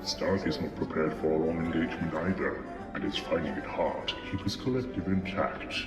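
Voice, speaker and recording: A man voicing a calm, wise alien Jedi master in a comic dub, with measured authority. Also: a bass voice, loud music behind the voice. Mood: calm, grave.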